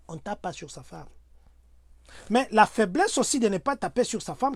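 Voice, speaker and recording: A man talks through everyday advice for his community. He is earnest and preachy, and his voice jumps to loud exclamations when he makes a point. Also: high voice, breathy edge, no echo. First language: Swahili. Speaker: community advisor.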